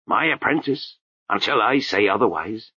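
An actor playing a stern old male sweeper-monk, gruff, clipped and commanding. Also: fairly low pitch, animated, normal speed, sharp tone, no room sound, lo-fi sound, exaggerated voice.

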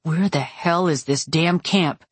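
A woman's voice: deep voice, a little slow, breathy edge, no room sound. A woman voicing an adult woman fighter in an audiobook, fierce, urgent and impatient, giving terse, emphatic commands. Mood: angry, impatient. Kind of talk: first-person audiobook character acting.

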